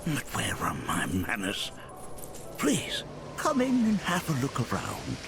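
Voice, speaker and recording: A creaky, genial old scholar. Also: male voice, fairly low pitch, huge pitch swings, slow pace, background noise, heavily acted. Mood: courtly. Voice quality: creaky aged voice. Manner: courtly, leisurely, grandfatherly. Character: genial elderly male planetology scholar, chuckling, eccentric and learned. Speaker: teacher. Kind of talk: video game cutscene acting. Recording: music behind the voice.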